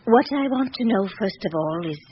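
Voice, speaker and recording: An actress playing a dignified, frank elderly princess in a radio drama, speaking in a poised, formal and deliberate manner. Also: female voice, a little high, animated, close to an everyday voice. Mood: composed, grieving. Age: older. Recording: dull, noisy old radio broadcast recording.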